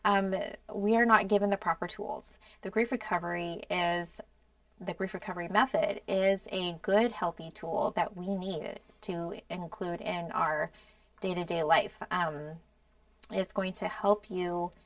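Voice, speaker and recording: A woman explains things in an earnest, unhurried way, filling pauses and letting phrases trail off softly. Her voice sits on the lower side. The recording is dry and she sounds a little distant from the mic. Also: steady tone, no room sound. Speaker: podcast co-host. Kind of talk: casual explanatory talk.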